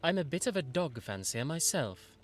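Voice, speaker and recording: A man's voice: on the high side, very animated, moderate pace, voice pushed up. A man doing a curt, skeptical young medical student. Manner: curt, dismissive.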